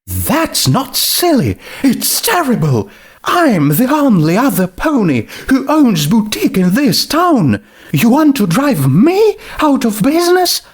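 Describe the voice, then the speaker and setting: A man's voice: very high voice, huge pitch swings, slow, husky, voice pushed up, heavily acted. A man voicing a dramatic, genteel female pony shopkeeper with a theatrical manner in a story reading. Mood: outraged. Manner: theatrical, says "darling". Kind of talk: fan fiction character reading.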